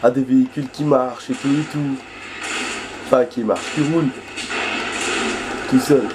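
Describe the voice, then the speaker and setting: A man commenting on a video game while hoarse from a cold, rambling chattily and swearing in surprise at the action. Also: mid-range voice, fairly even intonation, moderate pace. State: hoarse from a cold, losing his voice. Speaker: gaming video host. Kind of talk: casual let's-play commentary. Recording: background noise and game sounds.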